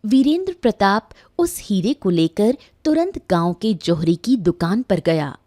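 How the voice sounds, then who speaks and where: A woman's voice: mid-range voice, animated, measured pace, darkish tone, dry recording, clean studio sound. A woman giving scripted narration for a cartoon story.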